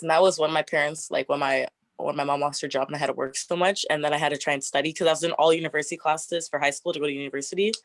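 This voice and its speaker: Young woman, 20s, deep raspy voice, chatty guest, dry close sound. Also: no room sound. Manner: chatty, frequent "like" fillers, laughs often. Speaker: interview guest. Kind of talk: casual get-to-know-me interview.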